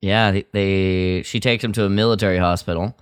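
A man co-hosting a podcast, chatting casually through a story recap in a halting, loosely phrased way that often trails off. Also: sits low, fairly even intonation, smooth, no room sound, studio quality. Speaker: podcast co-host.

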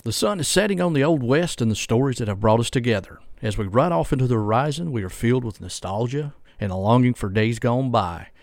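A man's voice: mid-range voice, no echo, studio quality. A man hosting a podcast, reading scripted narration in an earnest, sentimental manner. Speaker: podcast host. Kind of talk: scripted sponsor read and sign-off narration. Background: clear music.

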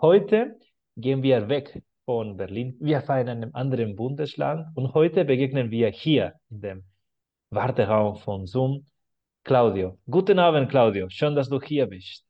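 A dull, lo-fi video call carries the voice of a man hosting a podcast. His pitch sits in the middle range as he introduces topics and puts questions to his guest. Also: animated, a little slow. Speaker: podcast host, interviewer. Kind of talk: podcast interview.

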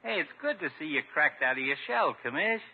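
Slangy barber, slightly high-voiced. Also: male voice, very animated, a little fast, gravelly, full character voice. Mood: breezy. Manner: slangy, ungrammatical, wisecracking. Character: cocky, folksy small-town barber, scrappy and loyal. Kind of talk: 1950s radio sitcom acting. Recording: muffled narrow-band 1950s radio recording.

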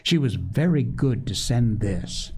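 A man voicing a gentle, loving mother who soothes and mildly chides her children in a storybook. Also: mid-range voice, expressive, unhurried, natural voice. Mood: chiding.

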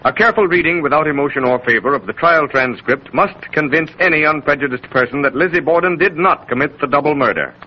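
A man's voice: a little high, fast talker, hardly any pauses. A man narrating a scripted 1930s radio drama in a solemn, oratorical, emphatic manner. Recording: muffled, hissy 1930s radio recording.

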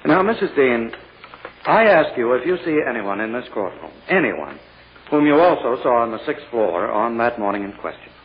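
A man playing an insistent, self-satisfied prosecutor who questions in a halting, courtly, officious way, as in 1940s radio drama. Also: mid-range voice, sing-song, close to an everyday voice. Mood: probing, controlled. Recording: dull, noisy old-time radio recording.

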